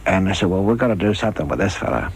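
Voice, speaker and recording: An older man with a husky, gravelly voice, telling anecdotes in an animated way. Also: low-pitched, rapid-fire, poor audio. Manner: animated storyteller, acts out dialogue. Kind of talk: reminiscing anecdotes with acted quotes.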